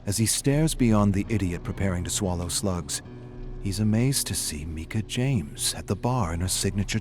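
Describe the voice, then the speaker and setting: Man, low voice, dramatic story narrator, gritty delivery, prominent music underneath. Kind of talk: dramatic scripted story narration in present tense.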